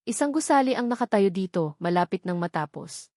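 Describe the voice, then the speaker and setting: A woman narrating a scripted love story as a storyteller, with a measured, earnest, sentimental delivery. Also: mid-range voice, lively intonation, a little mellow, no echo, clean studio sound.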